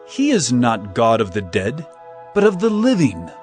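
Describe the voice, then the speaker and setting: Jesus, authoritative teacher, solemn, lively intonation, faint music underneath. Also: male voice, mid-range voice, a little slow. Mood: firm. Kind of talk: dramatized scripture reading.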